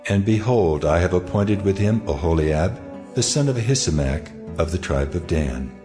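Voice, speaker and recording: Casting: almighty deity, very low voice, solemn and deliberate, audio scripture drama over music. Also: male voice, lively intonation. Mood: solemn, commanding. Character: God, commanding, solemn male deity of great authority.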